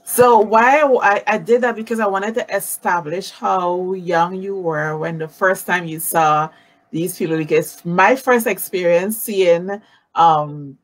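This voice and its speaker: A woman in her forties hosting a live-streamed interview from home over a video call, animated and greeting viewers by name. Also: lowish voice, rough recording. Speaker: talk show host. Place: home room, indoors. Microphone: video call webcam.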